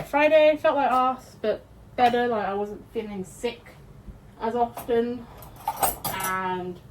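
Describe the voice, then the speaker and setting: British woman, congested, sniffly, sweary casual chat, home kitchen, faint background hum. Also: mid-range voice. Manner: chatty, sweary, laughs often. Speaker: vlogger. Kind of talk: casual chat while cooking.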